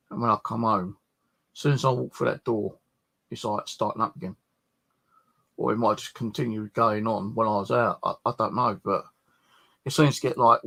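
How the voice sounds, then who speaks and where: A man's voice: mid-range voice, gravelly, pushed and bright, slightly rough. A man chatting casually and ramblingly about his own life, grumbling and swearing in a colloquial way. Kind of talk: casual rambling personal chat.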